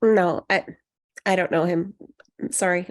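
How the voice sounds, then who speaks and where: A woman's voice: fairly low pitch, fairly even intonation, measured pace, slight background noise, lightly acted. A woman playing a dry, self-conscious single woman in a comedy table read, awkward and understated. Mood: awkward, polite.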